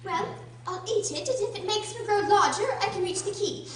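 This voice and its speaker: A woman's voice: very high voice, a little fast. A woman playing a curious, fretful little girl who thinks aloud theatrically in a radio drama. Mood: eager, excited. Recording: roomy, distant, faint noise and hum.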